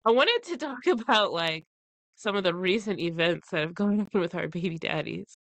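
A woman's voice: lowish voice, animated, moderate pace, fairly bright tone, no echo. A young woman with a stuffy, congested voice from the flu, co-hosting a casual podcast, laughing often and talking loosely. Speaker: podcast co-host.

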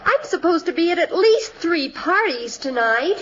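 A fretful suburban American mother in vintage radio comedy. She sounds high and animated, brisk and exasperated, with a bit of noise on the old recording. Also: heavily acted, rough recording. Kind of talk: 1950s radio sitcom acting.

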